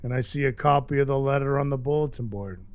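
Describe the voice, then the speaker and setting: A man playing a scruffy, apologetic Christmas dwarf in an audio drama, telling his story in a halting, folksy, sincere way. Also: medium pitch, lightly acted. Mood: sincere, chatty. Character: male Christmas dwarf turned Santa, scruffy, apologetic, sentimental. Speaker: actor in an audio play.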